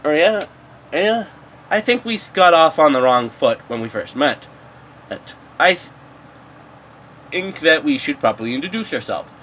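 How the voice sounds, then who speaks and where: Guy doing a stiff formal polite teen boy, halting, bright highish voice. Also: animated.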